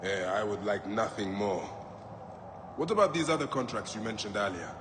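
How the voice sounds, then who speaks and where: A man's voice: fairly low pitch, moderate pace, natural voice. A man playing a stern, vengeful warrior in a video game, speaking with terse, solemn determination. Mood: brooding, determined. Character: stern, brooding, vengeful warrior turned gladiator. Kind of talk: video game cutscene acting. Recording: loud background noise.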